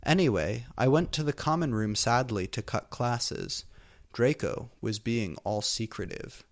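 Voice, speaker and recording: A man's voice: medium pitch, measured pace. A man reading fan fiction aloud for comedy, with a theatrical, exaggerated delivery.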